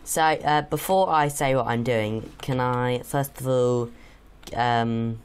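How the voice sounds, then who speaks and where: A young man with a slightly croaky sore throat, chatting and teasing his father while they play a game together, often pausing with ums. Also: medium pitch, a little hiss or hum. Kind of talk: casual gaming chat.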